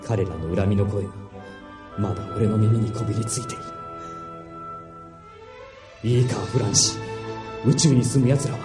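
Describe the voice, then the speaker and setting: Menacing, sneering actor as a villainous officer, music underneath. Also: male voice, fairly low pitch, normal speed, long pauses, echoey room, distant mic, close to an everyday voice. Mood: bitter, scornful. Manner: menacing, taunting, scornful. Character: ruthless sneering male military officer, mech pilot villain. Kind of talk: dramatic sci-fi anime audio drama acting.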